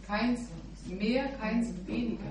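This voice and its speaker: A woman's voice: a little high, takes it slowly, a crowd in the background. A woman sharing earnestly at a church meeting, often adding an affirming "ja" as she speaks. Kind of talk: informal devotional sharing. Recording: echoey room mic from far off with background noise.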